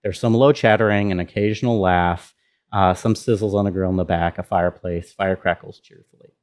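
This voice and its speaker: Male sound designer, lower-pitched voice, presenting behind the scenes in an informal, thinking-aloud way. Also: steady tone, a little fast, darkish tone. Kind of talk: casual walkthrough of own work.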